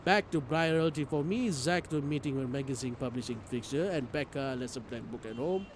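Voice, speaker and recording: A man streaming a game, reading its story aloud in an expressive, theatrical way. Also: mid-range voice, fairly quick, a little hiss or hum. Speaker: streamer playing a visual novel. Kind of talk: dramatic read-aloud narration with live reactions.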